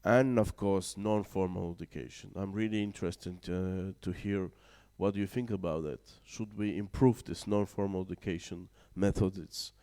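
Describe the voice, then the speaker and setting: A man chairs a panel discussion at a conference, his voice low-pitched and mellow. He talks in halting English, with frequent pauses and fillers as he hands the floor around. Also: steady tone. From Baltic region. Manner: hesitant, many fillers, non-native phrasing. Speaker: moderator. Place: conference room.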